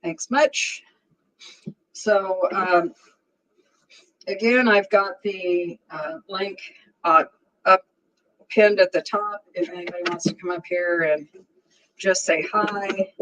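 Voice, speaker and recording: A woman's voice: fairly low pitch, slow, pauses often, rough recording. Woman in her sixties, strong voice, casual chatty livestream host, laughs easily. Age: 60s. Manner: chatty and warm, laughs often.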